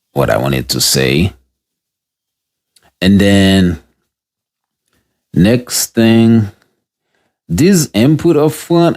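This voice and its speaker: A man with a notably deep, low voice talks slowly through a casual product review. He speaks off the cuff as he tests the device, pausing and restarting his sentences. He is recorded on a budget audio interface mic. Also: darkish tone. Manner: unscripted, halting, restarts mid-sentence while testing gear.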